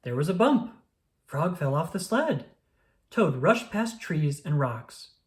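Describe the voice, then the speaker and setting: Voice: mid-range voice, big pitch swings, slow. A narrator reading a children's storybook aloud in a scripted storytelling style.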